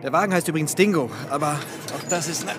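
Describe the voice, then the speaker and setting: An actor playing an eager, self-conscious young suitor in a radio drama, earnest and apologetic. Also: male voice, fairly high pitch, fast talker, background noise, lightly acted. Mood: bashful. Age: young adult.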